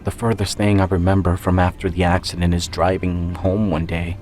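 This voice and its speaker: A man's voice: low-pitched, flat delivery, moderate pace, a little mellow. A man reading a scripted first-person dramatic story as its author and narrator. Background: music.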